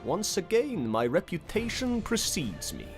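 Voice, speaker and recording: A man voicing a cocky, composed young knight in a fantasy audiobook, with a swaggering, measured manner. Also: high voice, wildly swooping pitch, faint music behind, voice pushed up, heavily acted. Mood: boastful. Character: cocky, composed young male knight, glory-hunting hero.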